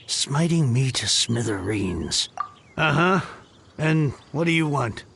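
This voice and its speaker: An actor playing a bitter, theatrical book lover ruined by debt in a video game, ranting with self-pity. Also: male voice, mid-range voice, animated, slow, gravelly, fairly bright tone, a little hiss or hum, full character voice. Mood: despairing, irritated. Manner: dramatic, ranting, self-pitying. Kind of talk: video game character acting.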